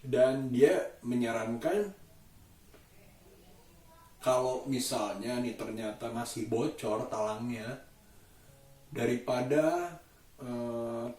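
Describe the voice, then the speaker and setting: A man chatting casually to a vlog camera, halting and thoughtful as he searches for words. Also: medium pitch, warm tone. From Indonesia. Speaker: vlogger. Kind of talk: casual daily vlog monologue. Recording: roomy echo, distant mic.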